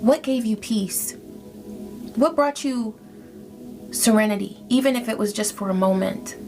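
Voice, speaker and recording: Gently encouraging woman tarot reader. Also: on the high side, a little slow. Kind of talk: spiritual tarot reading. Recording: faint music behind.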